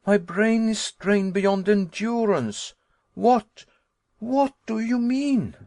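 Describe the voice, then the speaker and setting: A man reading an audiobook, voicing the narrator's terrified young self, who cries out in shrill panic. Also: lively intonation, slow pace, darkish tone, no echo, studio quality, full character voice. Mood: panicked.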